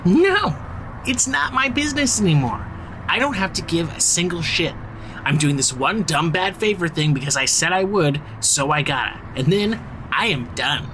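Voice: medium pitch, animated, moderate pace, noisy background, close to an everyday voice. An actor playing a jaded, bossy, sardonic insider of a crime family in a modern audio drama. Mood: giddy, exasperated. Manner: sardonic, sweary, commanding.

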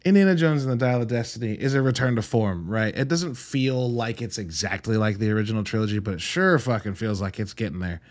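A man's voice: on the low side, a little fast, hardly any pauses. A man hosting a podcast, giving a casual, conversational solo film review in a blunt, casually profane way with rhetorical asides. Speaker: podcast host reviewing films.

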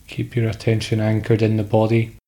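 Low, very monotone man guiding meditation. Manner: calm, instructional, short phrases with pauses. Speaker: meditation guide. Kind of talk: guided sleep meditation.

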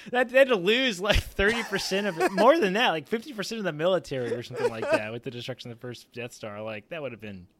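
A man talking casually as a podcast panelist, excitable and emphatic in his delivery. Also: sits high, fast talker, crowd noise. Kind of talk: casual roundtable discussion.

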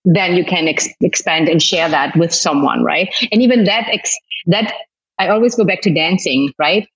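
A woman's voice: fairly low pitch, normal speed, slightly rough, rough recording. A woman with a German accent, talking openly and reflectively as a guest on a podcast, with many restarts and fillers. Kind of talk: podcast conversation.

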